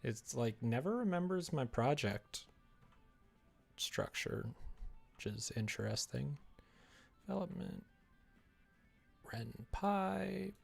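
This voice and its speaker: Male streamer, mid-pitched with a creaky edge, talking casually to himself in slow, broken fragments as he works. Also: lively intonation, faint music behind. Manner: muttering to himself in short fragments. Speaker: livestreamer developing a visual novel. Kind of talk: casual livestream thinking aloud while working.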